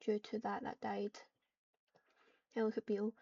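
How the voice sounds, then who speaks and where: A woman reading out roleplay narration and dialogue in a halting way, with stumbles and repeats. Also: mid-range voice, completely flat delivery, creaky, dark tone, husky, no room sound. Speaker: online roleplayer. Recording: muffled, distant microphone.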